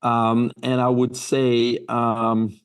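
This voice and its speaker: A man's voice: medium pitch, monotone, extremely slow, smooth. A man in his fifties from Belgium with a Belgian accent, giving earnest interview answers full of fillers and restarts. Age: 50s. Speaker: guest.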